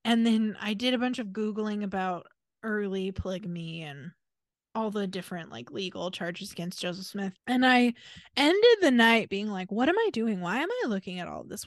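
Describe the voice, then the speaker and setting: A woman's voice: mid-range voice, animated, normal speed, no room sound, studio quality. A woman around her forties with an American accent, co-hosting a podcast in casual, chatty and emphatic talk. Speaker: podcast co-host. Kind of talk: casual chat.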